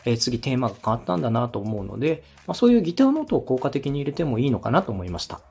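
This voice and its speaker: A man's voice: mid-range voice, very animated, very fast, vocal fry, a little mellow, breathy edge. A man speaking as a podcast host and director, giving polite, step-by-step feedback and acting out short examples as he explains. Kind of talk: structured coaching talk on a podcast.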